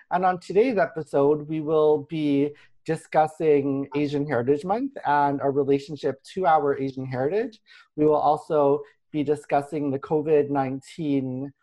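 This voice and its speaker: A podcast host steering a relaxed group conversation. The voice sits at a middle pitch, with a chatty, easygoing delivery and frequent laughter between questions. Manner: chatty, laughs often, quick asides. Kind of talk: casual chat.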